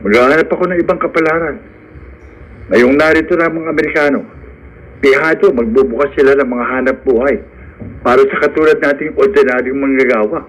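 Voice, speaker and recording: A man's voice: mid-range voice, a little fast, natural voice, rough recording. A man playing a loyal, cautious young working man in a radio drama, reasoning in a concerned manner. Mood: hopeful.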